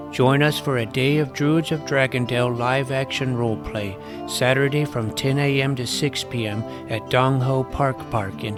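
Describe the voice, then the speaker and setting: A man acts out a geeky, self-doubting boy hero of a fantasy audio drama. He is wry and earnest, speaking at a middling male pitch as he tells his own story. Music plays softly behind him. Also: natural voice. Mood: realization. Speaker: narrator and lead character. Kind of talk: first-person scripted fantasy narration and dialogue.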